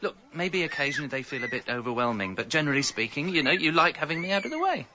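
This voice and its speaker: A man's voice: on the high side, big pitch swings, fast talker, noisy background. A man acting a guilt-ridden married father in a British radio comedy drama, in a British accent, with a wry, confessional manner. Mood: exasperated, placating. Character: guilt-ridden married father narrating his double life. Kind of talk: scripted first-person narration and acting in radio comedy drama. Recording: dull, muffled audio with music.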